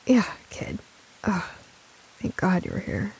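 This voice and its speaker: A woman voicing a stubborn but caring older woman gardener in an audio drama, folksy, clipped and gruffly affectionate. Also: medium pitch, wildly swooping pitch, slow, darkish tone, full character voice, low-quality recording. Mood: strained. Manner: folksy, clipped, calls listener kid.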